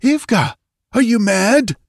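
A man doing a young warrior barking urgent orders, voice pushed higher and louder than the narration. The sound is dry and close, with a creaky texture. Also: lively intonation, slow pace, no echo, exaggerated voice. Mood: commanding.

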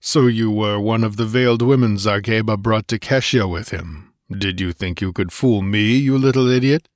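A man voicing a massive, contemptuous barbarian warrior in an audiobook, barking and rumbling with impatience. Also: lively intonation, moderate pace, no room sound, studio quality, lightly acted. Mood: angry triumph. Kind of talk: dramatised audiobook character voice.

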